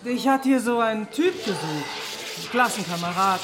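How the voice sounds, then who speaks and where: An actress in a German radio drama playing a laconic, teasing barmaid. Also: female voice, on the high side, moderate pace, close to an everyday voice. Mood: casual. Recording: music and clinking crockery behind.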